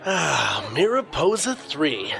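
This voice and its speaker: A man's voice: on the high side, huge pitch swings, slow, creaky, fairly bright tone, soft background music, heavily acted. An actor playing an easygoing, teasing male sidekick with a playful manner.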